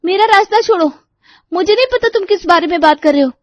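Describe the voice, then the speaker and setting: High-voiced, plaintive college girl, acted by a woman. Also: lively intonation, fast talker, dry recording. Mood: defiant. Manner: complaining, plaintive. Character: aggrieved young female college student, daughter. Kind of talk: animated story dialogue.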